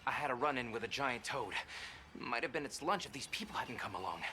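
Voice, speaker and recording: Lively, friendly young villager guide. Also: male voice, medium pitch, fairly quick. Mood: sheepish, apologetic. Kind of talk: video game voice acting. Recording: game audio with clear background noise.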